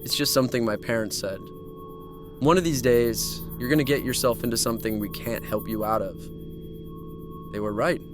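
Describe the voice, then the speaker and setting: A man's voice: mid-range voice, normal speed, music in the background, natural voice. A man playing an anxious teenage boy lost in a nightmare world, in a horror audio drama. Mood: despairing. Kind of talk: horror audio drama acting and first-person narration.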